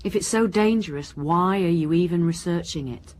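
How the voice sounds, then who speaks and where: A woman playing a wry, probing police inspector in a radio crime drama, with dry, brisk questioning. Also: lowish voice, a little mellow, lightly acted.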